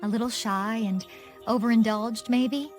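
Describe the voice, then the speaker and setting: A woman's voice: fairly high pitch, fairly even intonation, unhurried, soft background music, lightly acted. Poised young woman adventurer, voiced by an actress. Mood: playful. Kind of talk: video game voice acting.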